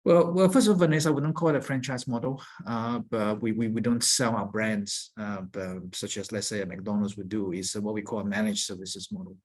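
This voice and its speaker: A man speaking as a company executive, giving measured, explanatory answers on an earnings call. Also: lowish voice, fairly even intonation, a little mellow.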